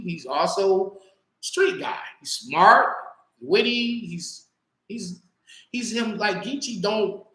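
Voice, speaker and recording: A man in his early forties from Los Angeles, chatting loosely on his own livestream with slang, swearing and animated storytelling. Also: high voice, reverberant, poor audio. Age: 40s. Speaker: livestream host. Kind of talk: casual livestream chat.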